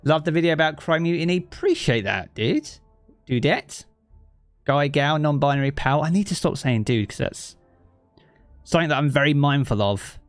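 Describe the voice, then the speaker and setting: A man's voice: on the high side, animated, normal speed, no room sound, faint music behind. A man from southeast England with a local accent, chatting casually with his live-stream audience from his living room and reading chat aloud. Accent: southeast English. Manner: chatty and easygoing, thinks aloud with many fillers. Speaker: game streamer. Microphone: streaming mic with effects.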